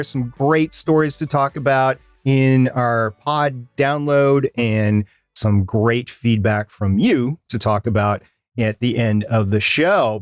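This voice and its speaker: A man's voice: medium pitch, no room sound, studio quality. A man co-hosting a podcast in casual talk, explaining things step by step in a chatty, storytelling way. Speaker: podcast co-host.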